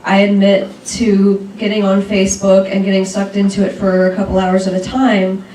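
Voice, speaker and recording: A woman's voice: medium pitch, completely flat delivery, normal speed, warm tone, a little hiss or hum. Candid woman speaking from the audience. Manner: candid, self-deprecating. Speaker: school board candidate. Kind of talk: audience comment at a luncheon. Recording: echoey room from far off.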